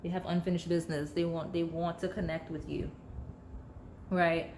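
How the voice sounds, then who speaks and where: Chatty, slightly hoarse tarot-reading woman. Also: lowish voice, flat delivery, normal speed, a little mellow, slight background noise. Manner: chatty, rambling, heavy use of "like", addresses viewers directly. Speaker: tarot reader. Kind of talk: casual pick-a-card tarot reading to camera.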